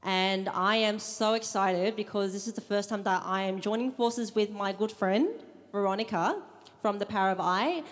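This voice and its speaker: A young woman hosting a live event, chatty and a bit nervous, with lots of ums. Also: mid-range voice. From Australia. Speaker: event host. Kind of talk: live event welcome speech. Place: event room with audience.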